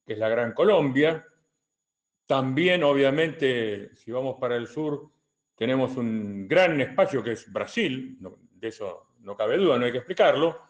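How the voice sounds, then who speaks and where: An older man with an Argentine accent answering questions as a political commentator, in a deliberate, didactic way with emphatic repetition. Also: medium pitch. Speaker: retired colonel and political commentator.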